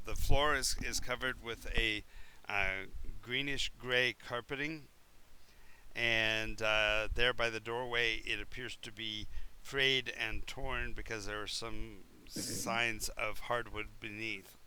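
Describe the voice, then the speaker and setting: A man's voice: lowish voice, fairly even intonation, slow, bright, forceful tone, clean tone. A man running a tabletop role-playing game, describing rooms at length with many fillers and restarts. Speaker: game master.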